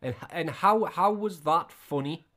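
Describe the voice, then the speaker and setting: A man's voice: a little high, a little slow, bright, forceful tone. A man in his thirties giving casual, scornful reaction commentary, often repeating his questions. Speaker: reaction video host.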